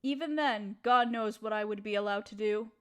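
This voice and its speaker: A woman playing a gentle, proper young noblewoman who speaks politely and coyly to her servant in an intimate roleplay audio drama. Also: mid-range voice, normal speed, clean tone, lightly acted. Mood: wistful. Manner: polite, formal, period phrasing, coyly teasing.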